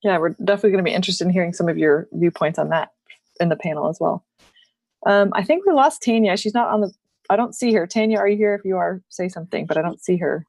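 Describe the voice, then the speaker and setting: A woman moderating a virtual panel in a brisk, conversational way over a video call. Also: lowish voice, vocal fry, a little mellow, dry recording.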